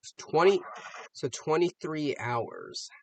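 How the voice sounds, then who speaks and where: A man's voice: a little high, takes it slowly, no room sound. A man giving casual, off-the-cuff reaction commentary, musing aloud and posing rhetorical questions. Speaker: reaction video host.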